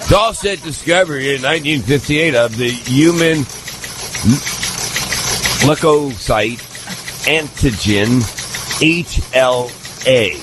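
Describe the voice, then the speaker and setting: A man's voice: mid-range voice, background noise. A man reading a comedic mock newscast as the anchor, stumbling over words and mispronouncing them. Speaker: comedy show news reader. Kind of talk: mock newscast read aloud.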